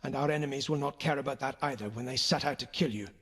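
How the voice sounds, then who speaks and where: An actor playing a royal uncle and sword instructor in an audio game, firm, formal and encouraging. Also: male voice, mid-range voice, quick pace, background noise, natural voice. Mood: stern.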